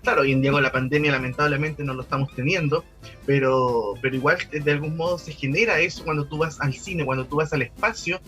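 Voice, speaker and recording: Higher-voiced Chilean man explaining over music. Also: quick pace, pushed and bright. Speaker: guest expert on local architectural history. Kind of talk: conversational explanation in an interview.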